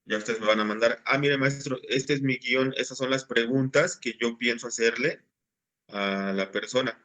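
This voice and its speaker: A man's voice: medium pitch, fairly even intonation, normal speed, sharp tone. A man, patiently explaining assignments to his class over a video call. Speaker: teacher. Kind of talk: online class instruction.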